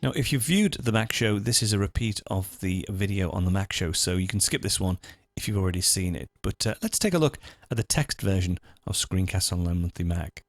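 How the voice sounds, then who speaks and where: A man hosting a software tutorial, talking through each step in an informal, unscripted, explanatory way. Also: deep voice, animated, a little fast, few pauses, no echo, soft background music. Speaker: host of a software tutorial show. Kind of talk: screencast tutorial, unscripted walkthrough.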